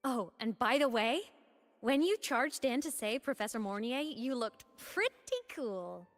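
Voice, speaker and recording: Playful, high-voiced ghost girl. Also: lively intonation, unhurried. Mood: playful, warm. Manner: breezy, casual, teasing. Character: playful digital ghost girl, upbeat, teasing, determined. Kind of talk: video game story voice acting.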